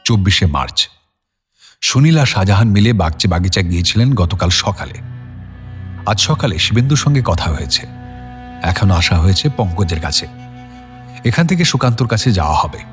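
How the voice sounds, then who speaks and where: A man narrating a scripted audio story as the narrator of a drama. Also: fairly low pitch.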